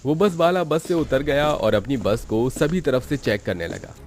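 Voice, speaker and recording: A man's voice: medium pitch, animated, normal speed, a little mellow. A man narrating a scripted horror story for a cartoon, as the storyteller. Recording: music bed under the voice.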